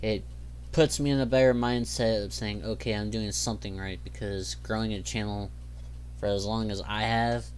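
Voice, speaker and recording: A man's voice: fairly low pitch, fairly even intonation, moderate pace, a little hiss or hum. A young man hosting his own gaming channel, chatting casually while he plays, rambling along with lots of ums and easygoing asides. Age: young adult. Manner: rambling, filler-heavy, easygoing. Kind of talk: casual gameplay commentary.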